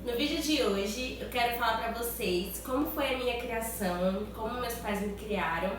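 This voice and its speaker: A woman's voice: on the high side, normal speed. Nasal-sounding woman with a cold, echoey room. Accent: Brazilian Portuguese. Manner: chatty, lots of tag questions. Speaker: vlogger. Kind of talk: casual chat to camera. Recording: very echoey room, far from mic.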